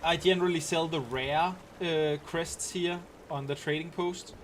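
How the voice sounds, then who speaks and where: A man's voice: fairly high pitch, fairly even intonation, a little slow, a little hiss or hum. A man with a Danish accent casually talking through a game on a live stream, rambling and explaining as he plays, with frequent ums and restarts. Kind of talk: casual live-stream commentary while playing.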